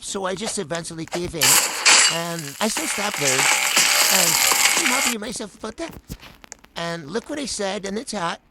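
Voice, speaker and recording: High-voiced, rambling gameplay commentator. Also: sharp tone, a little hiss or hum. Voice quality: high-pitched voice. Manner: rambling, full of "like" and "um" fillers. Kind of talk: casual voice-over commentary on gameplay.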